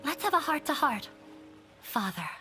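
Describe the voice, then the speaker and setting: A woman's voice: high-pitched, big pitch swings, sharp tone, soft background music, full character voice. A woman voicing a shy, nervous daughter in a video game, hesitant and awkward with her feelings. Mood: resolved, awkward. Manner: hesitant, halting.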